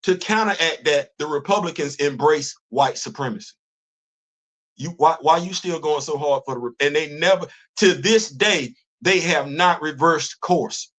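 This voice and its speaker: A man in his fifties hosting a casual livestream, talking in an animated, preachy way, often repeating words and restarting his sentences. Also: on the high side, moderate pace. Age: 50s. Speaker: host of a commentary livestream. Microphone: phone.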